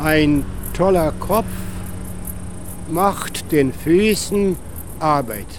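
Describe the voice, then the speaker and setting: A man from Russia, a professor reciting proverbs in a halting, deliberate way, with vehicle noise behind. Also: on the high side. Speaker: language professor. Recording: faint car and outdoor noise.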